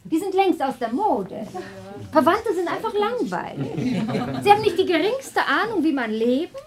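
A woman playing a witty, gossipy high-society hostess in a radio play, with breezy, theatrical aristocratic chatter. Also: big pitch swings, moderate pace. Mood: airy, amused.

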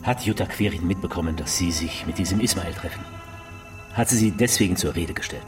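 Radio drama interrogator, male actor: deep, stern, accusatory, pressing, over music. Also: a little fast, natural voice.

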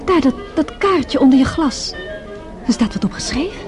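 Lively, teasing woman over loud music. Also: sits high, moderate pace. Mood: composed. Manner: teasing, probing. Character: teasing, level-headed woman, gentleman's dancing partner. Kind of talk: radio drama acting.

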